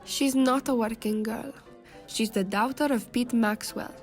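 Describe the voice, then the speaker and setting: A woman's voice: a little high, fairly even intonation, a little slow, faint music behind, natural voice. An actress playing a friendly young saloon woman who talks in a chatty, fond way.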